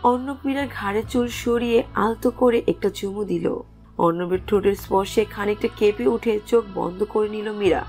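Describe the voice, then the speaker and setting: A woman's voice: mid-range voice, a little mellow. A woman reading scripted narration for a romantic story as its narrator. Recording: music under the voice.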